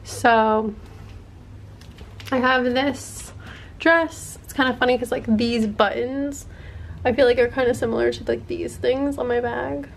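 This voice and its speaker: A woman's voice: on the high side, measured pace, gravelly, a little hiss or hum. Chatty young woman vlogging from a hotel room. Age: young adult. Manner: chatty, rambling, lots of "like" fillers. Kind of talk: casual travel vlog chat. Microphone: handheld camera at arm's length.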